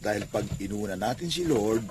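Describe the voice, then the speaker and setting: A man's voice: lowish voice, expressive, normal speed, natural voice. An actor playing a devout, kindly Filipino father who runs a small store, earnest and fatherly, in a radio drama. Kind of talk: radio drama acting in Tagalog. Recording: noisy radio broadcast playback.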